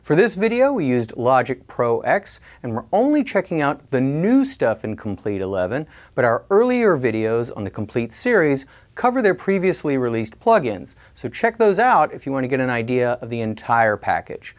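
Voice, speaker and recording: A man narrating a scripted product review in a clear, explanatory way. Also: mid-range voice, very animated, normal speed, hardly any pauses.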